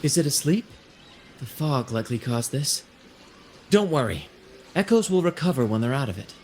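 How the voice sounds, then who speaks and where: A man's voice: medium pitch, big pitch swings, normal speed, a little mellow, a little hiss or hum, close to an everyday voice. An actor playing a genial, flamboyant ship captain and showman in a video game, speaking with grand, flowery flair. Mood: jaunty, showy. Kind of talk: theatrical video game dialogue.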